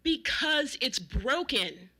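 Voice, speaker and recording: A woman's voice: on the high side, very animated, normal speed, sharp tone, full character voice. A woman voicing a demanding, exasperated old male neighbor, blunt and emphatic, in a spoken anecdote. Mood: exasperated shouting. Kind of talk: acted quotes in a spoken anecdote.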